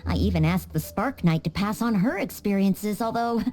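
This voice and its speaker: An actress voicing an earnest, polite young maid training to be a knight in a game cutscene, with small hesitant stammers. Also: female voice, mid-range voice, expressive, normal speed, warm tone, faint music behind, close to an everyday voice. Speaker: game character.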